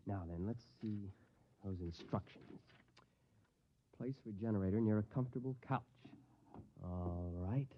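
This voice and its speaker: Unstable murderous fellow, muttering, forced friendliness, swooping pitch, dull vintage radio recording. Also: male voice, a little hiss or hum. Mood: muttering, methodical. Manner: muttering to himself, lurching into nervous excuse-making. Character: unhinged, murderous man hiding hatred behind forced geniality. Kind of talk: 1950s radio drama acting.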